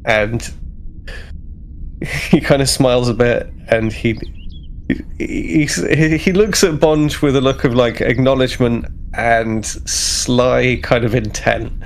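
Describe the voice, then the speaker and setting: A man's voice: medium pitch, slow pace, a little hiss or hum. A man playing a tabletop roleplaying game, casually improvising his character's actions and searching for words.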